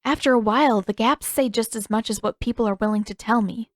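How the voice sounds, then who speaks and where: A woman's voice: on the high side, brisk, no echo, lightly acted. A woman voicing a perceptive teenage girl who talks in a candid, lilting, playful way. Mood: frank, probing.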